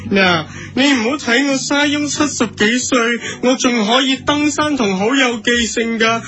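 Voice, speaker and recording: A man with a Hong Kong accent playing a proud, slow-witted TV host in his seventies in a comedy radio skit. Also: very high voice, bright, forceful tone. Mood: proud, cheerful. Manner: self-satisfied, slow-witted. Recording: music playing behind.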